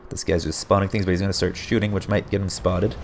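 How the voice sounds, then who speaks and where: A man casting a strategy game replay as play-by-play commentary, his voice hoarse and getting raspy, often breaking into surprised exclamations. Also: low voice, fast, a little hiss or hum. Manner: excitable, frequent surprised exclamations. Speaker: game caster.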